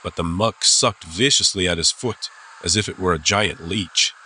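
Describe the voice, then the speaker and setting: Male narrator for an adventure audiobook: low voice, suspenseful delivery, clear ambient sound effects behind. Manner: suspenseful, deliberate phrasing. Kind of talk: scripted dramatic audiobook narration. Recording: clear background ambience noise.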